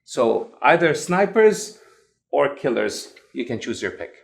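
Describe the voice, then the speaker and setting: A man from Lebanon with an English accent, a guest recounting his past in an interview with animated, emphatic delivery. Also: mid-range voice, measured pace, vocal fry. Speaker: guest, evangelist. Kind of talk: interview storytelling.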